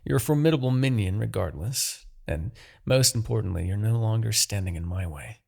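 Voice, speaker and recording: A man playing a smug, menacing demon king, obsessed with his rival, in a theatrical, deliberate fantasy roleplay monologue with a husky, gravelly voice. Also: on the low side, animated, a little mellow. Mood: wistful, condescending. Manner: theatrical, gloating, deliberate, grandiose.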